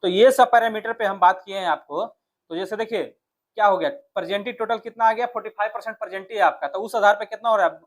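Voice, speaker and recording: A man's voice: high voice, fast talker. A man with a Bihari accent speaking as an exam coach, explaining informally and directly, often asking questions and answering them himself. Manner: conversational, addresses viewers directly, often asks then answers his own questions.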